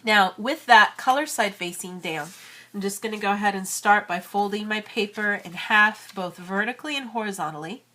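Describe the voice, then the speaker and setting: A woman's voice: medium pitch, normal speed, bright, forceful tone. A woman in her thirties with a cold, chatting casually as she walks through an origami tutorial. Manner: chatty, friendly, many fillers. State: has a cold. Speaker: origami crafter and channel host.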